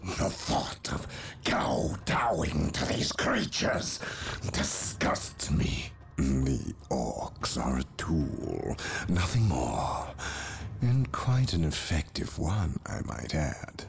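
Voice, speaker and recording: An actor playing a scheming human traitor in a video game cutscene, speaking in a cold, measured, disdainful way. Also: male voice, extremely low pitch, sing-song, vocal fry, slight background noise, heavily acted. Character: scheming human male traitor ally of orcs. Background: music.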